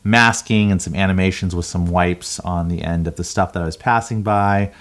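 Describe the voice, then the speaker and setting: A man with a fairly low voice hosts a casual vlog. He explains things in an informal, conversational way, like a creator talking straight to his viewers. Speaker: video creator and host.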